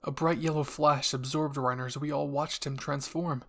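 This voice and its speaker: A man's voice: on the high side, fairly even intonation, moderate pace, vocal fry, fairly bright tone. A man reading scripted fan fiction as a narrator and channel host.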